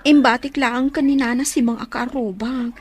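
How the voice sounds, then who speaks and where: A woman's voice: high-pitched, steady tone, normal speed, a little hiss or hum, close to an everyday voice. A woman playing a worried, grateful young mother deserted by her husband, plaintive and tearful, in an Ilocano radio drama.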